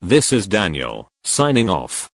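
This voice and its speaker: A man's voice: low voice, huge pitch swings, slow, gravelly, no echo, clean studio sound, full character voice. A synthetic-sounding male voice playing a formal news anchor reading a serious scripted emergency bulletin.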